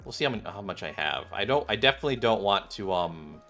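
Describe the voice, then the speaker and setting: A man with a lowish voice talks casually over a video game stream. He rambles and mutters to himself as he plays, with game music behind him. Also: expressive, quick pace, sharp tone. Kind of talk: casual live stream chat over gameplay.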